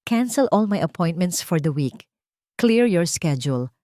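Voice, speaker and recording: Woman voicing a calm, steely billionaire man. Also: fairly low pitch, no echo, studio quality. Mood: cold resolve. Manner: commanding, deliberate. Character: calm, steely male billionaire boss giving orders.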